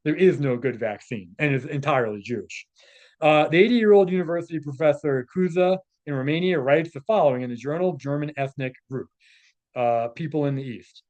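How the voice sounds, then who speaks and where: A man's voice: on the high side, fairly quick, darkish tone. A man giving casual, scornful spoken commentary as he reads through a text, with sarcastic asides. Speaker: commentator, presenter.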